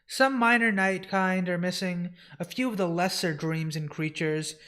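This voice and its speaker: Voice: medium pitch, steady tone, measured pace, a bit bright, close to an everyday voice. A comic reader voicing a dutiful male librarian who serves a lord, reporting in a formal, respectful way. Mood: grave, reporting.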